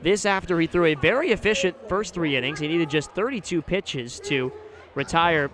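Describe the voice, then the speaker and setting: An American man calling baseball play-by-play for radio, describing each moment in detail in a live outdoor broadcast. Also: on the high side. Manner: measured description, excited on big plays. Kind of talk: live sports commentary. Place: outdoor ballpark broadcast position.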